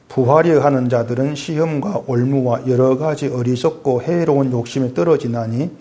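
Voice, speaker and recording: A middle-aged male pastor preaching conversationally, asking his congregation questions and dropping low and soft when he reads scripture. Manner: swings between raised emphatic lines and quiet low asides; reads scripture aloud in a lower, softer voice. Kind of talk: sermon.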